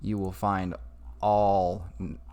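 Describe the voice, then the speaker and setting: A man talking through a casual, improvised software tutorial in an informal way. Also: deep voice, little pitch movement, takes it slowly, clean tone, lo-fi sound. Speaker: tutorial maker. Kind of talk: casual screen-recorded tutorial.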